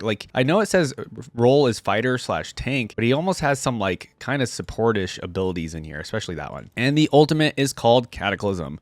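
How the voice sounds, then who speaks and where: A man's voice: medium pitch, dry recording. A male gaming host talks casually, thinking aloud as he reads and reacts. His delivery is informal and chatty, and the sound is close and dry with no room around it. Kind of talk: casual reaction commentary, reading game text aloud.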